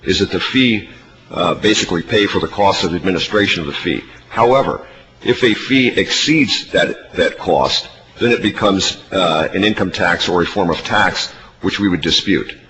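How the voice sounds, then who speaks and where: A man giving formal, measured testimony as an industry representative at a public hearing. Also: deep voice. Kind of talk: formal prepared testimony and answers. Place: large hearing room. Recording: reverberant hall, faint background noise.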